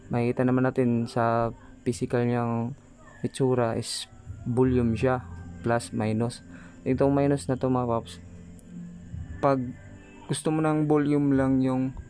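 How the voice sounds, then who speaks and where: A man's voice: medium pitch, a little mellow. A Filipino man giving a casual hands-on product tutorial, talking informally to viewers like buddies. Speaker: vlogger presenting a product tutorial.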